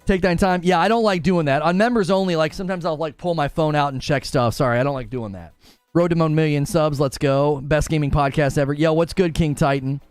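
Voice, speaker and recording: Clear-voiced man chatting on a gaming livestream. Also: on the high side, a little fast, no room sound, faint music behind. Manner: informal, slangy, addresses viewers directly. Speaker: gaming podcast host and livestreamer. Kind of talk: casual livestream chat, reading viewer comments aloud.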